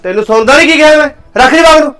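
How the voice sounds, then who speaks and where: A man's voice: very high voice, fairly bright tone. A man playing a domineering husband who scolds his wife in a family drama. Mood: scolding. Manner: scolding, ordering, fast.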